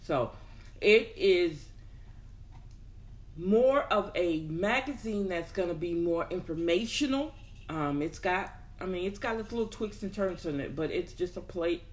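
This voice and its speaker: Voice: medium pitch, expressive, unhurried. A host chatting informally to an audience in a motivational style, thinking aloud and repeating words for emphasis. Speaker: host of a personal development show. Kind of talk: casual motivational talk to an audience.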